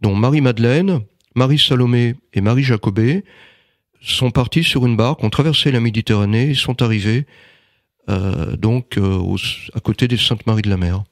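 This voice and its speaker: A man's voice: mid-range voice, normal speed, no echo, clean studio sound. A man giving an interview in casual conversation, speaking hesitantly with many fillers and restarts. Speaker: interviewee, writer and magnetizer.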